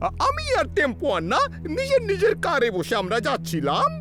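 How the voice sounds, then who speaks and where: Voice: high-pitched, wildly swooping pitch, a little fast. A performer voicing a boastful, easily flustered cartoon mayor who whines and hisses in exasperation. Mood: flustered, whining. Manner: whining, boastful, starts lines with an exasperated hiss. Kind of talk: exaggerated cartoon dubbing. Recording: loud music under the voice.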